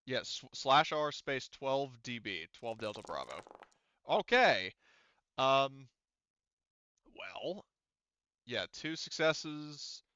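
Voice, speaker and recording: A man's voice: mid-range voice, sing-song, very slow, long pauses, pushed and bright, clear, resonant tone, dry recording. A man running a tabletop roleplaying game as game master, talking through dice rules in a casual, profane way over slightly dull audio.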